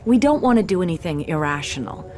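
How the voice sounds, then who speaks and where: An actress playing a level-headed, cautious resistance commander in a video game, serious and deliberate. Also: female voice, on the low side, very animated, a little mellow, soft background music. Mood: sympathetic caution. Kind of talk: video game cutscene acting.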